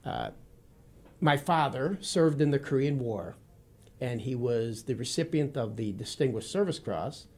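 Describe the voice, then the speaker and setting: A man in his fifties from Wisconsin with a Midwestern accent, speaking as a guest in a radio studio interview in a steady, earnest, formal way. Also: mid-range voice, a little slow. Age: 50s. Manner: steady, earnest and formal, addresses the host by name often.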